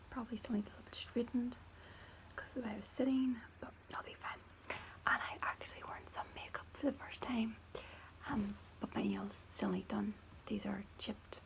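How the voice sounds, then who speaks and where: A woman's voice: a little high, gravelly, poor audio. A woman from Ireland, whispering a children's book aloud for ASMR and lingering over repeated words close to the mic. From Northern Ireland. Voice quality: soft whisper. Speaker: ASMR creator. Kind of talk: whispered ASMR storybook reading.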